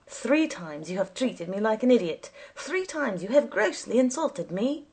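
Woman voicing an indignant old male taxi driver, gravelly. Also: fairly high pitch, expressive, slow pace, voice pushed up, exaggerated voice. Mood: enraged. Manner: indignant, formal, declamatory. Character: enraged, perverse old male taxi driver, easily offended.

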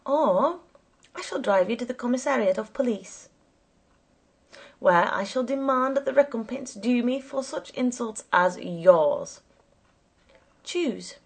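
A woman's voice: on the high side, animated, slow pace, creaky, pauses often, slightly rough, voice pushed up, full character voice. A woman voicing an angry, easily offended old male taxi driver in an audiobook, indignant and formally declamatory. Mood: ominously calm.

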